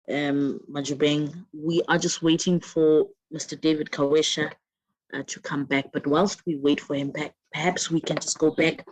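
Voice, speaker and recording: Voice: mid-range voice, no echo, low-quality recording. A panel moderator who thanks speakers, asks questions and gives polite short affirmations.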